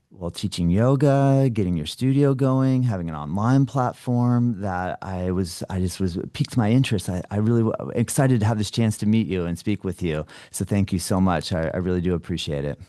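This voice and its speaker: Male interviewer, lowish and very mellow, closely recorded and dry, chatty and appreciative. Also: dry recording. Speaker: podcast host interviewing a guest. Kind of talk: casual conversational interview.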